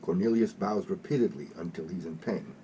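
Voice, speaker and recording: A man's voice: low-pitched, fairly quick, dark tone. A man reading scripted scene-setting narration for a comedic radio play. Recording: faint background noise.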